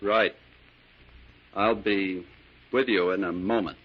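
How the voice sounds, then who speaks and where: An actor playing a polite, evasive young nephew with a gambling habit in an old-time radio drama. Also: male voice, sits low, steady tone, slow pace. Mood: placating. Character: smooth-talking, debt-ridden nephew, a weak gambler. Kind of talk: 1940s radio drama acting. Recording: muffled, noisy old radio recording.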